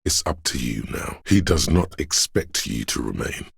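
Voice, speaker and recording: A solemn narrator for a detective video game. The voice is extremely deep, full of gravel and very husky. It sits close and dry, delivering literary narration with measured gravity. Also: male voice, lively intonation, dry recording, full character voice. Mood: grave, watchful. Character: solemn inner voice of police camaraderie, narrating. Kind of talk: literary second-person scripted narration.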